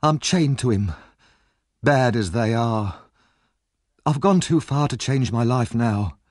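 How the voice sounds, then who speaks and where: A man's voice: mid-range voice, animated, slow, darkish tone, no echo, studio quality, voice pushed up. A man voicing a frightened, fatalistic young woman in an audiobook, anxious and pleading. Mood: resigned.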